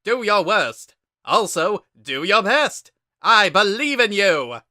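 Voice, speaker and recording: A man's voice: sits high, very animated, takes it slowly, sharp tone, no room sound, studio quality, voice pushed up, full character voice. A man voicing a pompous, big-hearted would-be royal guard in a dramatic story reading, with bombastic, grandiose delivery. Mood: boastful, encouraging. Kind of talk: dramatic reading of fan fiction.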